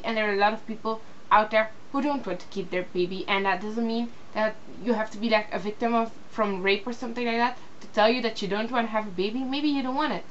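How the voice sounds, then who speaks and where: A young woman from Belgium, English not her first language, giving a casual video response, halting and full of fillers and restarts. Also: on the high side, a bit bright, slight background noise. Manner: hesitant, many fillers and restarts. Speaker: vlogger. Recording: slightly dull lo-fi webcam audio.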